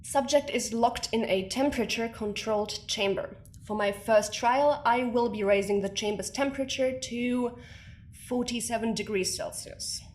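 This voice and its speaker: Deadpan, clinical woman scientist played by an actress. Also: fairly high pitch, a little hiss or hum, natural voice. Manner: formal, measured, deadpan. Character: reserved, clinical female research scientist, drily unamused. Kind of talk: scripted audio drama, formal log dictation.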